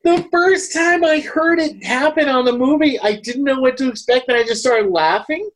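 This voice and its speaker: A woman's voice: high voice, normal speed, poor audio. A woman co-hosting a podcast, giving a casual film review in conversation. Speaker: podcast co-host.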